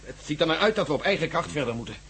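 A man's voice: fairly high pitch, animated, very fast, vocal fry, fairly bright tone, slight background noise. An actor playing a blunt, down-to-earth, sceptical young man in a radio play, speaking informally. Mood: wry. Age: young adult. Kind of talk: Dutch radio play acting.

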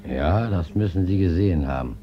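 A man's voice: low-pitched, animated, normal speed, lo-fi sound, a crowd in the background. An actor playing a sombre fisherman in a radio play, speaking in a plain, matter-of-fact way. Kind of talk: German radio play acting.

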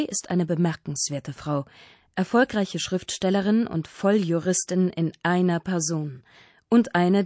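A woman's voice: lowish voice, warm tone, dry recording, studio quality. A woman reading scripted narration for a radio feature in a composed, orderly way.

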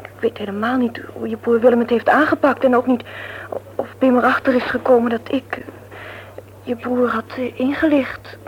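Anxious young woman, played by an actress, high voice, earnest and confiding, vintage radio-drama style, muffled old recording. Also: steady tone, normal speed, a little hiss or hum, lightly acted. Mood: hesitant, uneasy. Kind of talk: vintage Dutch radio serial acting.

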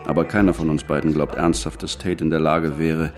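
An actor playing a sober, methodical police detective with a dry manner in a radio drama. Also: male voice, very deep voice, level tone, a little fast, faint music behind. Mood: analytical. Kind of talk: German radio drama dialogue.

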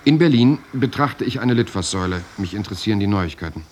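An actor playing a German writer who recounts his years in the resistance in a measured, reflective way. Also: fairly low pitch, lively intonation, darkish tone. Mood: sober, reflective. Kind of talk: first-person radio drama narration.

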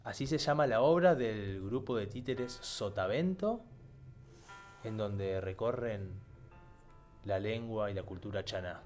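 A man's voice: lowish voice, a little slow, a little mellow. A man with an Argentine accent hosting a conversational community radio talk. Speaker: radio host. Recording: music playing behind the voice. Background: music.

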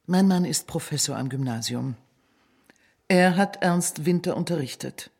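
An actress playing a proud professor's wife in a radio drama, husky-voiced, haughty and evasive under questioning. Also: female voice, low voice, measured pace, a little mellow, heavily acted. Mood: wary, wistful.

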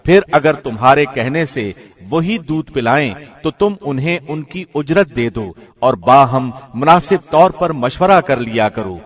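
A man reading a scripture translation from a script, with measured, formal diction like a radio presenter. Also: medium pitch, lively intonation. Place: studio.

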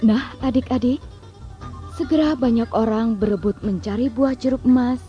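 A woman with a husky voice narrating a scripted fairy tale for children as a storyteller. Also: on the high side, normal speed, dark tone, highs cut off, soft background music.

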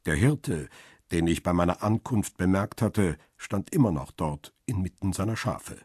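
Crime radio drama lead: guarded, cynical photographer, deep rough-edged voice, deliberate delivery. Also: male voice, lively intonation, a little mellow, no echo, studio quality, heavily acted. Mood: cool, measured. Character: male paparazzo photographer, cool, cynical, guarded first-person narrator. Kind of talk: first-person crime radio drama narration and dialogue.

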